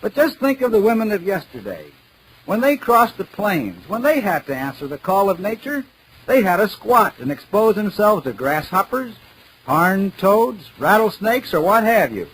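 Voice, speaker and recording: A man's voice: high-pitched, very animated, normal speed, fairly bright tone, slightly rough, full character voice, poor audio. A man playing a pompous, mock-serious radio announcer giving an oratorical comedy speech in 1940s style on an old 78rpm record. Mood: mock-solemn.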